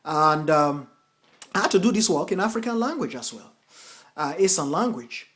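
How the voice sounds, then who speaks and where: A man with a fairly high-pitched voice reads verses of scripture, pushing hard on key phrases. Between readings he turns loose and informal as he talks teaching to his viewers, calling them folks. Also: expressive, normal speed. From United States. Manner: declaims verses with forceful emphasis, then talks casually, addressing viewers as folks. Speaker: Buddhist translator and teacher.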